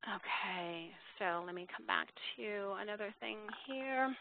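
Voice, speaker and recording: A woman's voice: mid-range voice, unhurried, creaky, slight background noise. A woman presenting a webinar in an informal, explanatory way, thinking aloud as she takes questions. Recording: tinny, dry phone-line audio.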